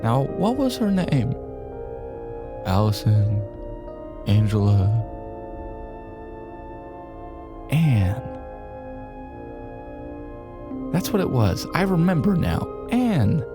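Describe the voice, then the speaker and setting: An actor playing a menacing, taunting colonel who gives orders as a villain in an audio drama. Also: male voice, mid-range voice, very animated, slow. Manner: taunting, commanding. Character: menacing colonel villain holding hostages at gunpoint. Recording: music behind the voice.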